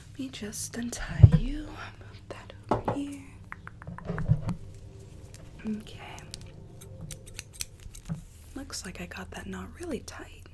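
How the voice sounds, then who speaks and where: A woman whispering an ASMR roleplay as a rogue Alice, a coaxing, sweetly menacing young woman, close to the microphone. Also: high voice. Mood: urging. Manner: slow, hushed, coaxing, repeats phrases softly.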